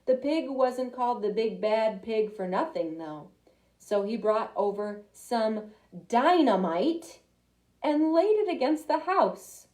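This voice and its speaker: A young woman reading a picture book aloud to children, expressive, with little asides to her listeners. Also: fairly high pitch, takes it slowly. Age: young adult. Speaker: library storytime reader.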